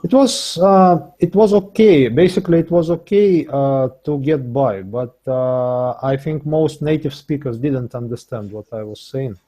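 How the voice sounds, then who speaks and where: A man speaking English as an in a casual interview, with halting, repetitive phrasing. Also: medium pitch, dark tone. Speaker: interview guest, English learner.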